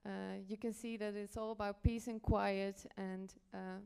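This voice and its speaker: A woman from the Netherlands speaks as an editor presenting on a conference panel. Her voice is smooth and clear, at a medium pitch. She explains in a halting way, pausing and rewording as she goes. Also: little pitch movement, a little mellow.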